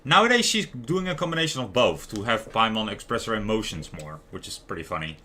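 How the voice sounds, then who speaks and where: A man's voice: fairly low pitch, expressive, brisk, sharp tone. A man giving casual live gameplay commentary as a streamer, rambling and stumbling over words. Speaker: game streamer.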